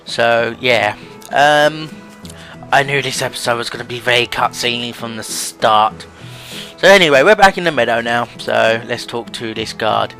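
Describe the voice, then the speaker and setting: A man's voice: mid-range voice, measured pace, pushed and bright, soft background music. A man hosting a let's play with casual game commentary.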